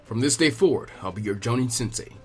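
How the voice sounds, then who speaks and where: A man's voice: medium pitch, animated, quick pace, voice pushed down, lightly acted. A man voicing a laid-back, wryly amused bearded ninja instructor in a read-aloud story. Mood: calm, instructing.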